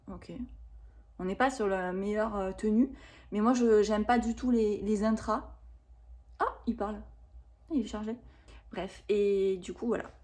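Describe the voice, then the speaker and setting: A woman in her thirties chatting casually to camera about a shopping haul, rambling and expressive with lots of fillers. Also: mid-range voice. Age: 30s. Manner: chatty and rambling, with frequent fillers and self-corrections. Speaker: vlogger reviewing a shopping haul.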